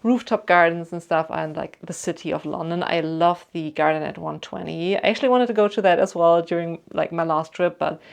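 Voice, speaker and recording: Low, gravelly woman with a German accent. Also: steady tone, fairly quick, hardly any pauses. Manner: chatty and rambling, with many "like" and "um" fillers. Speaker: vlogger answering viewer questions. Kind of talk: casual Q&A chat to camera.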